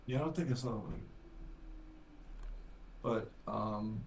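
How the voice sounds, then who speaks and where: A man's voice: lowish voice, gravelly, a little mellow, a little hiss or hum. Easygoing man in an echoey room. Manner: measured, hesitant with fillers. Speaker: podcast co-host. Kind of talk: casual chat.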